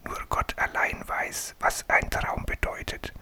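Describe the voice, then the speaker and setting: A man's voice: unhurried, pushed and bright. A man whispering the lines of a humble, wise young dream interpreter in a bedtime story. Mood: earnest.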